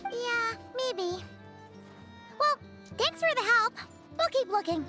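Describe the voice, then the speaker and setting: A very high, childlike girl for a fantasy game. She is a perky companion whose pitch bounces around in a lively way. Music plays clearly behind the lines. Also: takes it slowly, heavily acted. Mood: helpful, upbeat. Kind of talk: video game voice acting.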